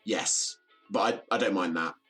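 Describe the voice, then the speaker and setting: A man's voice: on the low side, a little slow, a bit bright, breathy edge, faint music behind. A man playing a reserved, downbeat young British man, weary and bitter, in an audio drama. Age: young adult.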